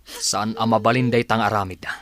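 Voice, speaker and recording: A man's voice: lowish voice, fairly even intonation, brisk, a bit bright, natural voice. A man playing a concerned, protective father in a radio drama, questioning emphatically. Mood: incredulous, indignant.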